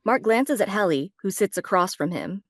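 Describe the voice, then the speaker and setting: A female narrator reads screenplay directions for a table read. The voice is mid-pitched with animated intonation. The sound is dry, with no room echo. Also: normal speed. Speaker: narrator reading stage directions.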